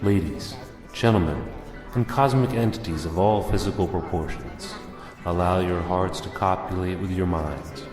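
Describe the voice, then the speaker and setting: A man's voice: low-pitched, moderate pace, close to an everyday voice. A man playing a grandiose cosmic oracle who preaches in a solemn, ceremonious manner. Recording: echoey reverb, music over voice. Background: clear background music.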